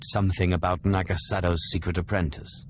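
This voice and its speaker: Man acting a very deep, cool young Sith. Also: flat delivery, slight background noise, close to an everyday voice. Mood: dry. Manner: measured, dry, terse. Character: cool, self-assured young male Sith. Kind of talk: video game voice acting.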